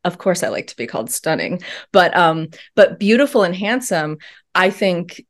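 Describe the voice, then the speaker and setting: A woman talking as an interview guest in a thoughtful, earnest way with many pauses and ums. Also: lowish voice, lively intonation. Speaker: podcast guest. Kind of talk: reflective interview talk.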